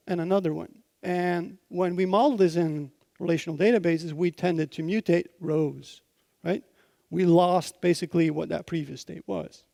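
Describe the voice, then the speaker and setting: A man's voice: high voice, creaky, mellow, dry recording, clean studio sound. A man from Belgium with a Belgian accent gives a technical conference talk, explaining things informally and often checking in with his listeners. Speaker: conference speaker, software consultant.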